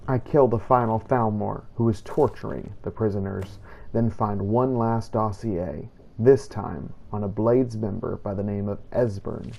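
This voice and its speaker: A man giving scripted first-person roleplay narration of a game in a measured, formal way. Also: on the low side, creaky, a little mellow. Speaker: gameplay narrator playing a vampire hunter in character.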